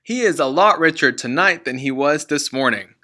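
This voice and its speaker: A man voicing a boastful, crooked car-dealer father from a children's storybook, in a booming, smug and bossy manner. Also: animated, moderate pace, bright, forceful tone. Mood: boastful, gloating. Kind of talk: children's storybook read-aloud.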